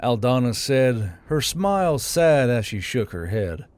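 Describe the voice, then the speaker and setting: A man's voice: medium pitch, very animated, measured pace, a little mellow, no echo. A man narrating a fantasy audiobook in a scripted storytelling style. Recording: music behind the voice.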